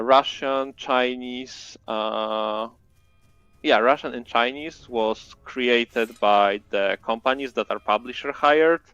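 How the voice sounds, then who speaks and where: A man with a Polish accent, a native Polish speaker, chatting casually as a game developer guest in halting English full of fillers. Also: medium pitch, a bit bright, clear, resonant tone, no room sound, muffled recording. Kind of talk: casual interview chat.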